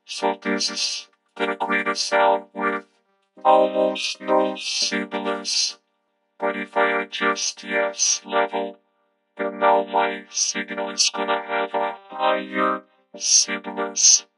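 A man demonstrating a plugin as a monotone robotic synthesizer voice through a vocoder filter. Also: slow, over loud music, poor audio. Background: synthesizer tones carrying the voice.

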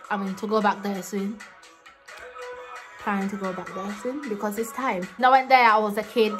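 A young woman in her twenties talks straight to camera from a small room, playful and animated and quick to laugh. Her voice sits at an ordinary middle pitch. Faint music plays low underneath. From Ghana. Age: young adult. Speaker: vlogger. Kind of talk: casual chat to camera.

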